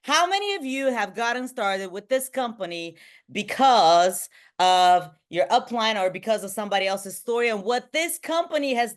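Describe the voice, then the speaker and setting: A woman in her thirties from Bulgaria leads a motivational online training as a sales team trainer, talking excitably and often checking in with short questions. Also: a little high. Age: 30s. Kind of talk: motivational online training talk to a live group.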